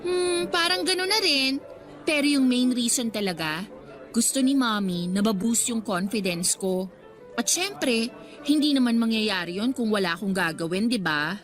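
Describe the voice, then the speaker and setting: A woman's voice: on the high side, expressive, normal speed, soft background music, close to an everyday voice. A woman playing a chirpy, eager teenage schoolgirl in a scripted radio drama. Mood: teasing, pleased.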